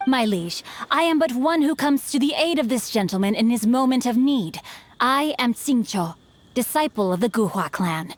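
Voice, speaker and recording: A woman's voice: on the high side, expressive, normal speed. A woman voicing a courteous, witty young noble swordsman in a game. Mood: gallant. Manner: courteous, poised.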